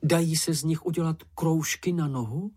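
A storybook reader voicing a practical, sweet, slightly vain young female creature with a brisk, ladylike manner. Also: medium pitch, fairly even intonation, mellow, husky, pitched above the performer's own voice, close to an everyday voice. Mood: curious.

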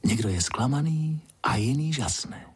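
A man's voice: medium pitch, expressive, slightly rough, pitched above the performer's own voice. A man voicing a playful, curious wind in a children's storybook, with a breezy, musing manner. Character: whimsical playful wind, curious granter of foolish wishes.

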